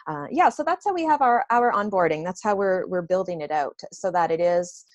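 Low-pitched woman guest, dry recording. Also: expressive, brisk, dry recording. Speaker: business owner being interviewed. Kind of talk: conversational explanation in an interview.

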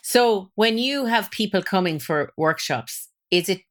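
A woman's voice: medium pitch, lively intonation, measured pace. A woman hosting a podcast, asking enthusiastic, rambling questions with plenty of hesitations. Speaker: podcast host. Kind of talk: conversational interview.

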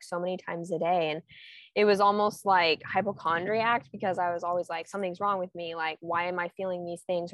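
A woman in her twenties talks as a guest in a casual conversation, her voice pitched a little low for her age and sex. The sound is dry and close, with no room echo at all. She speaks reflectively, filling her sentences with "like". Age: 20s. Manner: reflective, heavy use of "like". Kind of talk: casual podcast chat.

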